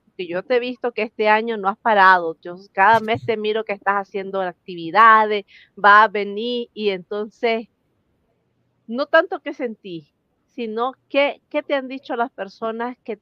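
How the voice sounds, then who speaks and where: Woman host, smooth voice, chatty questions, dry dull recording. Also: mid-range voice, no room sound. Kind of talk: interview questions.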